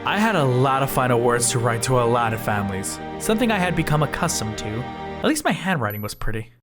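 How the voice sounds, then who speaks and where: A performer voicing a flippant, deadpan slime god who drifts through space, narrating an audio drama in the first person in a conversational, boastful way. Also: medium pitch, fast, close to an everyday voice. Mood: wry, matter-of-fact. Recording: music bed under the voice.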